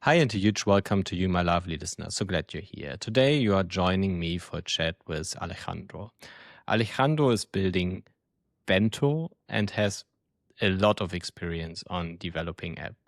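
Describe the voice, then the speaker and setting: Low-voiced male podcast host, dry sound. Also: normal speed, gravelly, no echo. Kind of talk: interview podcast.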